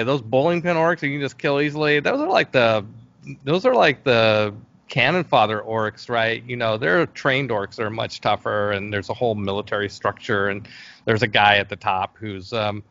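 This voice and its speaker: Man talking haltingly on dull lo-fi audio. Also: medium pitch, fairly bright tone. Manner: hesitant, halting, thinking aloud. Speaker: interview guest, game world creator. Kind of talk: casual interview conversation.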